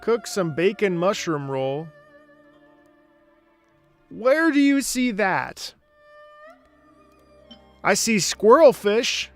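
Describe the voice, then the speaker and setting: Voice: medium pitch, animated, drawn-out words, long pauses. A game streamer chatting casually on a livestream, blunt and full of swearing. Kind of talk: casual livestream gameplay chat. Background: video game music.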